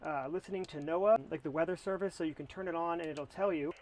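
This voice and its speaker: Higher-pitched American man giving a product review. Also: fast, noisy background. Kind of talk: casual product review to camera.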